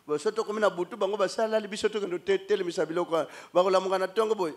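A middle-aged Congolese man preaches with lively energy, his voice sitting high for a man. He speaks into a stand microphone in a church hall, switching between two languages and gesturing as he goes. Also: rapid-fire. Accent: Congolese French. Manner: animated, mixing Lingala and French, gestures while preaching. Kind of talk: sermon. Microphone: handheld mic on a stand.

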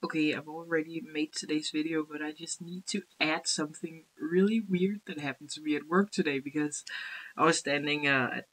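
A woman's voice: low voice, steady tone, moderate pace, a bit bright, poor audio. A young Danish woman vlogging casually to a webcam in her bedroom, rambling and laughing at herself, speaking English as a second language. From Denmark. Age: young adult. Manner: rambling, self-deprecating, giggly, gestures a lot. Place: small bedroom indoors. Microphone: built-in webcam mic.

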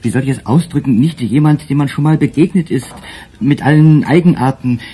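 A man's voice: mid-range voice, a little fast, warm tone, breathy edge. A man playing a nervous, defensive witness, agitated and hesitant, in a German crime radio play. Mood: uneasy, defensive. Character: nervous, defensive male witness, working in hospitality.

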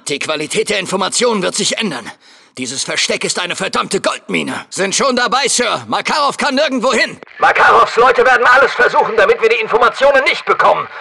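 An actor playing a tough, commanding special forces soldier in a German video game dub, barking clipped military orders with urgent authority. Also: male voice, very high voice, vocal fry, pushed and bright, slightly rough, heavily acted. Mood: tense, commanding. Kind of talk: German game dub, barked combat callouts.

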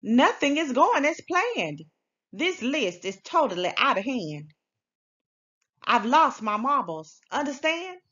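Woman acting bossy frazzled parent, storybook style, emphatic, swooping pitch, slow deliberate pace, slightly dull recording. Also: very animated, a bit bright, full character voice. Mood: frazzled, commanding. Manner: emphatic, exasperated, commanding. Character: frazzled, bossy parent rallying the family to clean. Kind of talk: children's storybook read-aloud.

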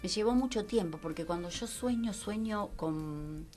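An older woman with an Argentine accent, a guest actress chatting on a radio show, animated with frequent laughs and trailing fillers. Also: medium pitch, moderate pace, soft background music. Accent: Rioplatense Spanish. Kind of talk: casual radio interview. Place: small radio studio with foam walls. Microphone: studio mic and headphones.

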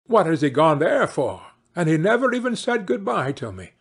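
A kindly but worried storybook father , acted by a man. He is plaintive and questioning, with lively rises in a slightly husky voice. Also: on the high side, moderate pace, exaggerated voice.

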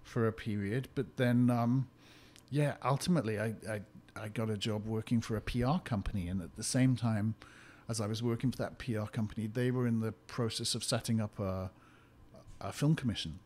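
Welsh man, low voice, measured explanations. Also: fairly even intonation, darkish tone. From Wales. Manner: articulate, explains at length. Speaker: location consultant. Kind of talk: interview answers.

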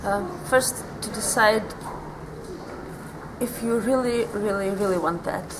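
Brief: young woman from Lithuania, thoughtful volunteer, mid-pitched, clear outdoor noise behind her. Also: takes it slowly. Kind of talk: casual interview answers. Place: outdoors in a garden.

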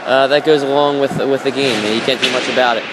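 A man giving color commentary on a hockey game, speaking haltingly with many fillers and restarts. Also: medium pitch, fairly even intonation, very noisy. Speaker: color analyst. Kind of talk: casual game analysis. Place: arena.

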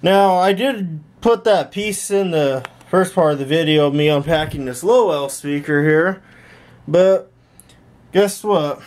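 A man's voice: a little high, takes it slowly, darkish tone. A man hosting a hobby tech vlog, casually narrating a tour from behind a handheld camera in a small room at home. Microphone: handheld camera held by the speaker.

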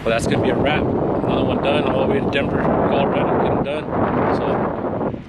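A man's voice: medium pitch, steady tone, moderate pace. A middle-aged man chatting casually to his vlog audience outdoors, recorded on a phone. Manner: laid-back, informal. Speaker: vlogger, car customizer. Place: outdoors, in or near a vehicle. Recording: loud wind noise from vehicle outdoors. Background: wind and traffic.

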